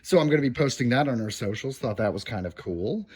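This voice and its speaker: A man's voice: medium pitch, lively intonation, quick pace, darkish tone, slightly rough. A man hosting a podcast in a hotel room, chatting informally to listeners and running through updates in a casual way. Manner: informal, addressing listeners directly as guys. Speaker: podcast host. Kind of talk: casual solo podcast chat with news updates. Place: hotel room while on vacation.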